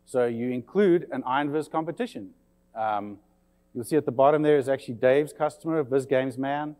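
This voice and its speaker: A man's voice: mid-range voice, moderate pace, dark tone. South African man presenting in a hall. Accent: South African, slightly English-tinged. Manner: friendly, chatty, with many fillers. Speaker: conference presenter. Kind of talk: conference talk to an audience. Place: conference session room.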